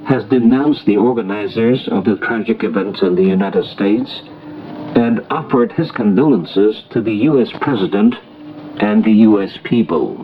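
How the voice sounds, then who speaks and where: A dull, lo-fi shortwave broadcast with faint noise carries a man's voice. He reads an international news bulletin as a radio newsreader. His delivery is formal, scripted and measured. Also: on the low side. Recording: noisy, muffled shortwave radio broadcast recording.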